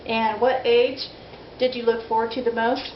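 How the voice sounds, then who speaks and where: A woman's voice: on the high side, flat delivery, normal speed. A woman in her forties chatting candidly in a casual video diary, self-deprecating and frank. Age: 40s. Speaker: vlogger. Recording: dull lo-fi audio in an echoey room with faint noise.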